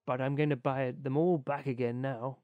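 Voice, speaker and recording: A man's voice: medium pitch, little pitch movement, normal speed, no room sound, narrow, tinny audio, natural voice. A man voicing a good-natured, trusting little fellow in a storybook, meek and earnest in his manner.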